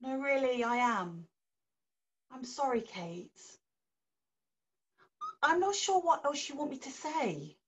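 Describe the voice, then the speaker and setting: A woman's voice: sits high, sing-song, distant mic, exaggerated voice. A woman acting a needy, defensive sister who is keen to make up, in a naturalistic drama. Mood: pleading, defensive. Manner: pleading, defensive, quick to retort. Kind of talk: naturalistic stage drama dialogue.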